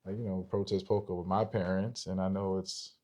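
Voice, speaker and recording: A man's voice: deep voice, flat delivery, brisk, dark tone. A young man co-hosting a podcast, talking casually about relationships and explaining step by step while checking for agreement. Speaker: podcast co-host.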